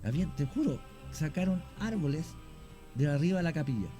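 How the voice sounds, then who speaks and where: Chilean male guest, mid-pitched mellow voice, lecturing at length, faint music behind. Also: animated, fairly quick. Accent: Chilean Spanish. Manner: long explanations, frequent fillers and restarts. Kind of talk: explanatory radio talk.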